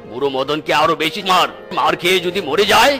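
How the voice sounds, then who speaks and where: A man's voice: a little high, fairly quick, bright, forceful tone, faint music behind. A man voicing a cruel, domineering tiger headman, a sneering villain in a melodramatic cartoon. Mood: menacing, angry.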